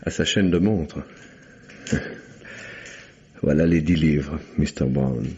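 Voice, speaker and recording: An actor playing a suave, sly English gentleman in a French radio mystery, speaking with hesitant, drawling, ironic politeness. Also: very deep voice, takes it slowly, husky, heavily acted. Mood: sly, coaxing. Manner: urbane, hesitant, drawling politeness with wry irony. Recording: dull, muffled old radio recording.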